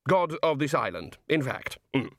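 A man's voice: mid-range voice, very animated, normal speed, a bit bright, no echo, studio quality. A man doing a blustering old head wizard.

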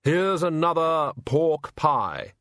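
A man acts out a red-hooded figure on a flying sledge, heavy and puzzled, each word weighed down with gloom. The delivery is slow and slightly raised in pitch. A storybook figure, no cheer in him. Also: animated, a bit bright, no room sound, studio quality. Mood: glum.

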